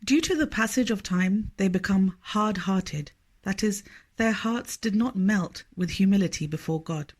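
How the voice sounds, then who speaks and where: A woman reading a scripted scripture study aloud in a measured, formal manner. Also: medium pitch, breathy edge. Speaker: reader of a religious study text.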